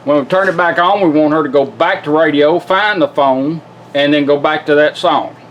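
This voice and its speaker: A man's voice: on the high side, moderate pace, fairly bright tone, muffled recording, slight background noise. A man giving a casual, hands-on product demonstration, explaining controls step by step in a folksy, informal way. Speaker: product demonstrator, radio builder.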